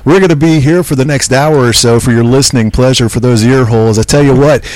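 A man's voice: mid-range voice, quick pace, darkish tone, no echo, poor audio. A man co-hosting a casual podcast, teasing his friend and joking through mock-announcer intros into a studio mic. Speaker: podcast co-host. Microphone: podcast mic through a mixer board.

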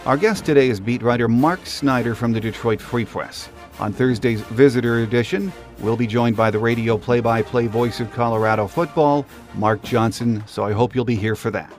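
A man's voice: mid-range voice, normal speed, few pauses, faint music behind. An American man speaking as a podcast host, delivering a scripted sports monologue.

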